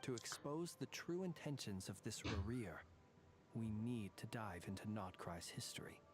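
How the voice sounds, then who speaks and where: A man voicing a calm, serious young investigator in a game, speaking in a measured, matter-of-fact way. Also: fairly low pitch, over music. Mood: composed. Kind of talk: game voice acting.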